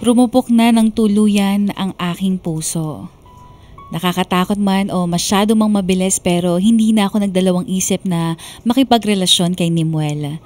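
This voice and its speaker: A woman's voice: mid-range voice, moderate pace, darkish tone, soft background music, natural voice. A woman voicing a sentimental young woman narrating her love story in a Filipino radio drama. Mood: smitten, sentimental. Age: young adult. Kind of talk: scripted dramatic first-person narration.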